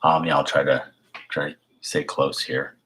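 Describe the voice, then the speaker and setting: A man with a raspy voice hosting a casual live stream as a filmmaker, rambling in a chatty way and sometimes dropping to a whisper. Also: a bass voice, flat delivery, normal speed. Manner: hushed at times, drifting into whispers; chatty, with fillers and restarts.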